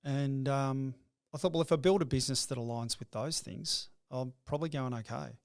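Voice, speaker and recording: A man's voice: mid-range voice, creaky, no echo, studio quality. A middle-aged man, a business owner talking through his life story in an interview, often stumbling and repeating words as he starts a thought. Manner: halting, repeats words when starting sentences. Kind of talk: reflective interview talk.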